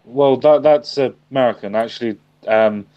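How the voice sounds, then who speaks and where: Brief: English streamer, tired and tipsy, teasing banter, dull lo-fi audio. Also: male voice, mid-range voice, normal speed, dark tone. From England. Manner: sleep-deprived, swearing, teasing, laughing often. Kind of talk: casual political banter.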